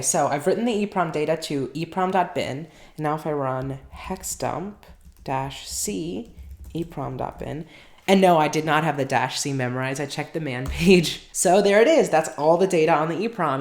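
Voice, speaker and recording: A woman giving a casual technical walkthrough, explaining each step out loud while she works on a device. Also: deep voice, echoey room. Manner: explains step by step while working, thinking aloud. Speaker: hardware tinkerer and channel host.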